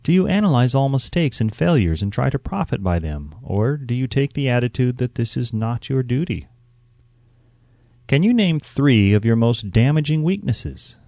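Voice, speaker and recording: Man, audiobook narration, mellow tone, widely varied intonation, measured and instructive. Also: mid-range voice, very animated, no room sound. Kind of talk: scripted audiobook narration of a self-help book.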